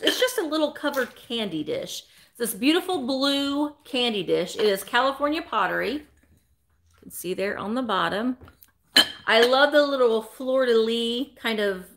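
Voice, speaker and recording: A talkative woman in her forties with a bright, forceful voice pitched a little high, hosting a live sale. She speaks animatedly into a close webcam in a small indoor room. Her delivery is chatty and upbeat. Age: 40s. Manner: animated, talkative, greets viewers by name. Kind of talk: chatty live selling stream. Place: small indoor room full of vintage decor. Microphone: webcam at desk, close framing.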